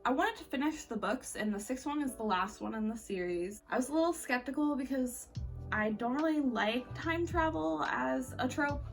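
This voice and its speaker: A woman's voice: sits high, expressive, moderate pace, gravelly. A woman giving a casual, chatty book review in an informal, slangy, opinionated way. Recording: slightly distant mic, faint music behind.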